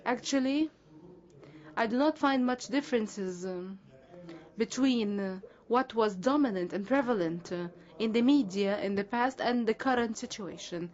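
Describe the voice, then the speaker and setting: Brief: female simultaneous interpreter, slightly high voice, halting delivery full of fillers and self-corrections, muffled conference feed. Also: darkish tone.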